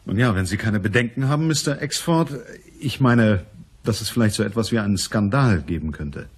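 A persistent private eye in a radio crime drama. His voice is low and husky, and he narrates and questions in a courteous, measured, matter-of-fact way. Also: expressive. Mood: businesslike. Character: male private detective, businesslike, courteous, persistent. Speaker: narrator and lead actor.

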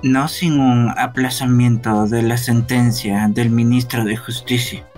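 A man playing a grave, reluctant police detective in a halting, sombre game fan dub. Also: medium pitch, fairly even intonation, a little mellow, soft background music, close to an everyday voice. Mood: grim. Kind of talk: live fan dubbing of a visual novel game.

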